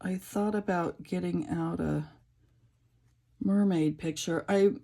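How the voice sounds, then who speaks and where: A middle-aged woman with a low, raspy voice and a gravelly edge talks while coloring. Her tone is dark and mellow. She rambles casually, with plenty of fillers and restarts. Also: steady tone, slow pace. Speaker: coloring channel creator.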